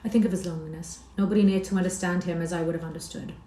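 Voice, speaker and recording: A woman's voice: lowish voice, fairly even intonation, racing, dark tone, slight background noise, lightly acted. A woman voicing a grieving young woman who speaks mournfully and pleads in a heartbroken tone. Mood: pleading. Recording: roomy echo.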